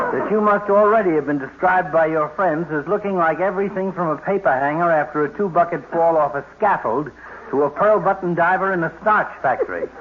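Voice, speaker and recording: A man's voice: a little high, narrow, tinny audio, close to an everyday voice. A man playing a sardonic, mocking doctor. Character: sardonic, insulting family doctor. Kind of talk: 1950s radio comedy acting. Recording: thin, hissy 1950s radio broadcast.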